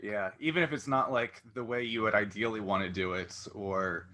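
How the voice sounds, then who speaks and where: A man's voice: lowish voice, moderate pace, pushed and bright. A man hosting a casual interview, rambling and thinking aloud as he goes, often cutting into his own sentences. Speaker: interviewer, show host.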